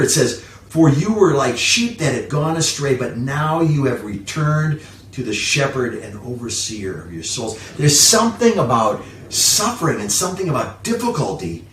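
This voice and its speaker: A male pastor delivers a sermon with emphatic phrasing and a lively, varied pitch in a medium register. The room echoes around him and the microphone sounds some distance away. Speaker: pastor preaching. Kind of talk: sermon with scripture reading. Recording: roomy, distant mic, background hiss.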